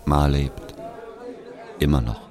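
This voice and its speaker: An actor playing a brooding man who narrates a family tragedy in measured, terse radio drama monologue. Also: a bass voice, steady tone, darkish tone.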